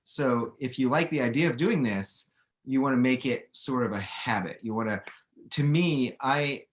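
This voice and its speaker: A middle-aged man with a mid-range voice speaks as an author taking questions. He explains things informally, thinking out loud and circling back to restart his phrases as he goes. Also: mid-range voice, normal speed. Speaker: author and illustrator answering questions. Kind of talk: casual chat, explaining to students.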